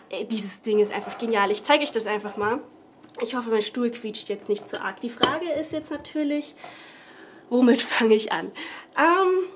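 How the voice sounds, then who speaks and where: A woman's voice: on the high side, a little hiss or hum. A young woman with a cold, chatting casually through a craft tutorial at her table at home, rambling with lots of fillers and self-corrections. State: has a cold. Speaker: craft vlogger. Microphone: camera above the table, speaker off screen.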